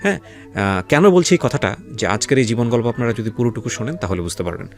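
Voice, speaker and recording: A man's voice: medium pitch, expressive, fast, soft background music. A man hosting a life-story radio show, earnest and preachy as he frames the guest's story.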